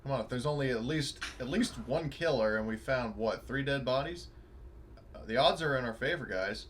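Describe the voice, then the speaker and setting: A man's voice: mid-range voice, animated, moderate pace, a little hiss or hum. A man playing a tabletop roleplaying game, chatting casually with the group.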